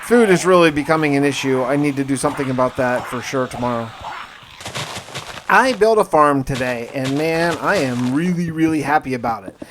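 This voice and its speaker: A man's voice: medium pitch, moderate pace, background noise. A man narrating his survival gameplay as a casual first-person story, with dramatic turns and colloquial asides. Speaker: gaming video host and narrator.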